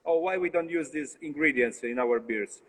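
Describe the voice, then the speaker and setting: A man's voice: a little high, fairly bright tone, in a large hall. A young man with an Italian accent, a brewer talking casually in an interview over a video call, hesitant and full of fillers and restarts. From Italy. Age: young adult. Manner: halting, many fillers and restarts. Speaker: brewer, guest.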